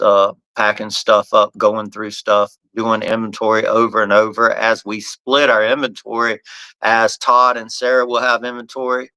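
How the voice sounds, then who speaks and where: A man with a bright, forceful tone and a smooth, crisp voice hosts a casual live podcast. He talks in loose, rambling runs, stumbling and restarting phrases as he goes. The sound is close and dry. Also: lowish voice, dry recording, poor audio. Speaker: podcast host and bat company owner.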